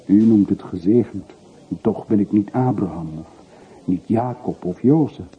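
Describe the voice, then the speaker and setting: Radio play role: wistful wealthy middle-aged man, lowish voice, brooding and confessional, phone-thin hissy recording. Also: unhurried, husky, narrow, tinny audio. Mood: wry, resigned. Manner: brooding, confessional, short clipped sentences.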